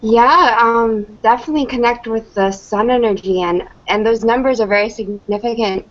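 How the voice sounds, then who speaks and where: A woman's voice: fairly high pitch, normal speed. A woman in her early twenties chatting casually as a caller on a talk show. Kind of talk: casual phone-in chat. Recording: dull, lo-fi internet call.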